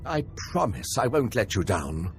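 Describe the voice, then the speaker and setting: A man's voice: on the low side, big pitch swings, a little slow, soft background music. A man playing an eager, nervous young imperial officer and scholar in a video game, earnest and a little awkward.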